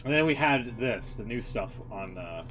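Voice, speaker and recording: A man livestreaming casual, rambling commentary on a video game, opinionated, slangy and quick to swear. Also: mid-range voice, expressive, a bit bright, faint music behind, rough recording.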